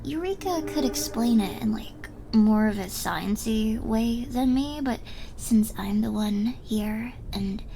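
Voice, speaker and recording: A woman's voice: fairly high pitch, animated, slow pace, gravelly, dark tone, full character voice. A young woman playing a drunk, giddy, smitten rival in an ASMR roleplay, rambling and stammering as she trails off. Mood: tipsy explaining.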